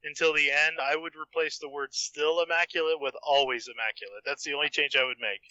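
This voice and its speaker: Man, very bright forceful voice, slightly high, casual podcast chat, dry and slightly dull recording. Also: fairly even intonation, fairly quick, no room sound. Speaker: podcast participant. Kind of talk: casual chat, giving feedback.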